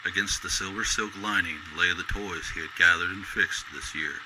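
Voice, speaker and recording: Deep-voiced male storyteller over noisy ambience. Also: fairly even intonation. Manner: measured, literary storytelling delivery. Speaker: narrator. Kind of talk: scripted storybook narration in golden-age radio drama style.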